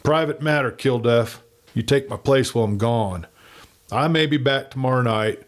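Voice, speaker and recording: A man voicing a calm, confident outlaw in a western audiobook, speaking in a composed, articulate way. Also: medium pitch, moderate pace, close to an everyday voice. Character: Lee Haines, calm, confident male outlaw.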